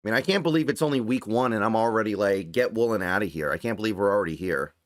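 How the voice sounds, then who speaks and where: A man hosting a casual sports livestream, thinking aloud and repeating points for emphasis as he answers viewer chat, his voice starting to go a little hoarse. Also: fairly low pitch, quick pace, dry recording, clean studio sound. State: voice getting strained, starting to go hoarse.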